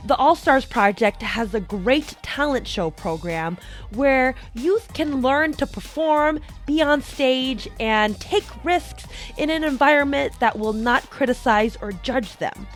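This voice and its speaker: A woman's voice: high voice, slow, faint music behind. A young adult American woman presenting a prepared motivational monologue as a host, explaining things and addressing the listener directly. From United States, small town in Colorado. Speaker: podcast host.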